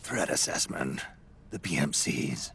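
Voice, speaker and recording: A gravelly old war veteran with an extremely deep, breathy growl. He is weary, guarded and terse, speaking in deadpan fashion as in a dramatic game cutscene. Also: unhurried, slight background noise, heavily acted. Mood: guarded, gruff. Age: older.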